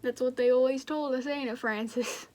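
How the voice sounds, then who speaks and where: A woman's voice: high-pitched, steady tone, moderate pace, darkish tone, lightly acted. A woman with an American accent playing a neighborly young small-town farm man in an amateur play. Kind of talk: amateur stage-play acting.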